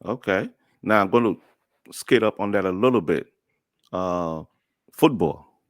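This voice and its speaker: A man's voice: sits low, unhurried, no room sound, clean studio sound. A man from Liberia hosting a podcast interview, chatty and friendly, laughing easily as he asks questions. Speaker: host.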